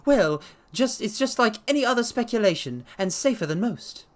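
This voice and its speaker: A man voicing a blustery, bustling gentleman investor who talks bluntly and eagerly in a dramatic story reading. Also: sits high, expressive, normal speed, voice pushed up. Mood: brisk, pushy. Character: blustery, pushy gentleman investor with money to spend.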